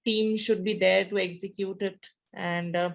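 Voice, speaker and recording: A woman founder asking a webinar question over a video call, halting and searching for words with "like" and "you know". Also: medium pitch, steady tone, vocal fry, muffled recording.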